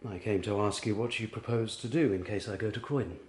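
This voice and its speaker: A man as a proud, low-voiced estranged husband. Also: fast, natural voice. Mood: cold, demanding. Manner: formal, demanding, cold. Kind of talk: period radio drama acting. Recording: echoey room with music.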